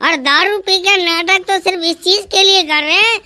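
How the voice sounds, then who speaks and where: Cartoon husband: drunken wheedler, very high squeaky processed voice, pleading bluster, noisy track. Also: male voice, normal speed. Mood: tearful confessing. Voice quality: squeaky, artificially pitched-up. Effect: pitch raised like a cartoon. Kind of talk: cartoon comedy skit in rustic Hindi.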